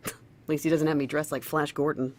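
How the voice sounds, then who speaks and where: A woman's voice: low-pitched, little pitch movement, moderate pace, no echo. An actress playing a brash, sarcastic, hot-tempered woman in a military crew, sardonic and confrontational, in an audio drama.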